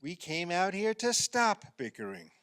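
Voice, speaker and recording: An eager middle-aged husband played by a male actor in a radio play, pushy and argumentative. His pitch runs fairly high and swings widely as he needles and urges. The sound is dry, with little room around it. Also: measured pace, no room sound, full character voice. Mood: insistent, bickering. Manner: excitable, insistent, argumentative. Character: eager, needling fifty-year-old husband playing basketball.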